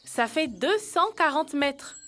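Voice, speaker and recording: A smart, encouraging young cartoon child. The delivery is slow and deliberate in a high, bright tone, as if counting each step out. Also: female voice, animated, soft background music, exaggerated voice. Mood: encouraging, reasoning.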